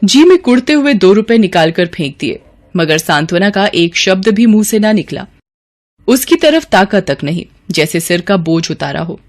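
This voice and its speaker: A woman's voice: mid-range voice, sing-song, moderate pace. A woman reading scripted literary story narration as the narrator.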